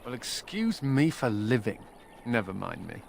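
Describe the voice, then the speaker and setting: An actor playing a sardonic escaped mage in a video game, glib and self-amused with a bitter edge. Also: male voice, mid-range voice, big pitch swings, a little slow, creaky, a little hiss or hum. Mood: wistful, flippant. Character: wry male mage and escaped prisoner, playful yet bitter. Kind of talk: video game character dialogue.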